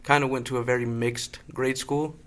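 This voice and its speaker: A man's voice: medium pitch, flat delivery, normal speed, a bit bright. A man speaking in a tentative, hedging way as a panelist in a casual discussion.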